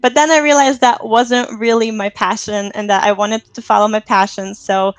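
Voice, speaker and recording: A woman in her thirties from Lithuania, a native Lithuanian speaker, chatting as a guest on a video call, upbeat and quick to laugh. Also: medium pitch, steady tone, no room sound. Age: 30s. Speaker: guest, fitness coach. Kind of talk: casual chat on a video call.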